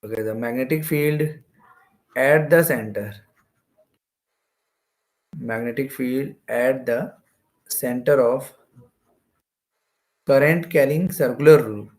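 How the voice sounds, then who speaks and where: A man's voice: medium pitch, slow, pauses often, darkish tone. Indian-accented man teaching step by step. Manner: step-by-step, repeats phrases. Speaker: physics teacher. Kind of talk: explanatory exam-prep lecture.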